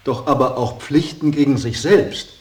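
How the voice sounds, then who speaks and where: An actor playing a discouraged former painter reduced to hack writing, respectful and plaintive, in a radio play. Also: male voice, mid-range voice, normal speed, darkish tone, close to an everyday voice. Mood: subdued, respectful. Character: discouraged, dutiful former painter, struggling newspaper writer, younger man. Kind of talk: German radio play acting. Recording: distant, slightly hissy radio drama recording.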